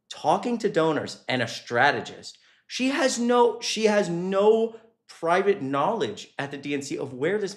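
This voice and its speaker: A man giving casual, unscripted political commentary as a streamer, halting and sweary, with an exasperated manner. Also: sits high.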